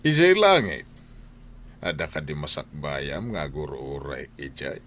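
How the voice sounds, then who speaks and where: A man's voice: rumbling low, very animated, fairly bright tone, a little hiss or hum, heavily acted. A man playing a stern, domineering husband and head of the household in an audio drama, lecturing in an Ilocano Filipino accent. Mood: preachy, stern. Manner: slow, lecturing, commanding.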